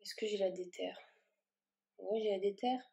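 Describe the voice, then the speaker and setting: A woman's voice: medium pitch, fairly even intonation, moderate pace, creaky, dark tone. A woman vlogging casually as she repots her plants, talking in a rambling way with plenty of fillers and restarts. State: a bit warm and tired from the work.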